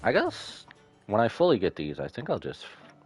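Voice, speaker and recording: A man's voice: lowish voice, huge pitch swings, moderate pace, darkish tone. A man casually commentating on a livestream as a game streamer, rambling and loosely thinking aloud. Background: music.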